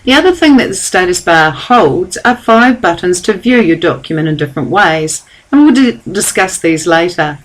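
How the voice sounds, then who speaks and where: A woman giving a calm, step-by-step software tutorial over a screen recording. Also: on the low side, moderate pace, few pauses, low-quality recording. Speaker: software trainer.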